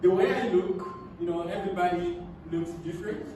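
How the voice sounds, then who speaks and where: A man from Congo, whose first languages are Swahili and French, tells his life story in English, earnest and halting, often repeating words. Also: sits high, background noise. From Democratic Republic of the Congo. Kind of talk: personal storytelling talk with slides. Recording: echoey room, mic far off, loud music behind.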